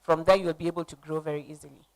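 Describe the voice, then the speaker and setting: A woman on a conference panel, speaking in a slightly low voice. She coaches the audience and throws questions at them as she talks. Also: steady tone, very fast.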